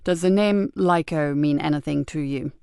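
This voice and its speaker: A woman voicing a calm male police detective who asks questions in a quiet, measured way. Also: low voice, vocal fry, clear, resonant tone, no echo, studio quality, voice pushed down.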